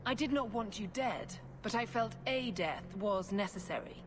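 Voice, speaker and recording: A cold and righteous cleric from a video game , played by a woman, who speaks with deliberate, formal condescension. Her mid-pitched voice rises and falls widely. Ambient noise is clearly heard behind her. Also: lively intonation, lightly acted. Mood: cold, condescending. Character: haughty, scheming female cleric, cold and righteous. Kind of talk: video game cutscene acting.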